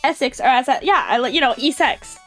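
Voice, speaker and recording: A woman with a fairly high voice talks casually to her livestream. She is playful and swears easily, slipping into mimicry as she goes. Light music plays behind her. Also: brisk. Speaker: livestreamer reacting to videos.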